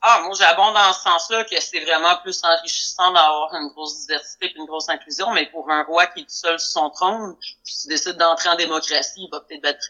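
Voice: mid-range voice, steady tone, brisk, few pauses, bright, forceful tone. A band member talking over a video call, earnest and talkative, often repeating phrases. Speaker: band member, musician guest. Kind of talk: casual interview on a call. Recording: dull, lo-fi call audio.

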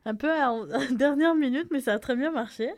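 A young woman chatting on the radio as a guest, laughing often and speaking haltingly with many fillers. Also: sits high, no echo, clean studio sound. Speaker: radio guest, event organizer. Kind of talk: casual radio chat.

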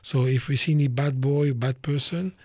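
A man's voice: medium pitch, steady tone, moderate pace, darkish tone, no echo, studio quality. A man in his fifties from Ivory Coast, answering as an interview guest in short, simple, often rephrased phrases. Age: 50s. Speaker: chief of police, interview guest. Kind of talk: plain-spoken explanation in an interview.

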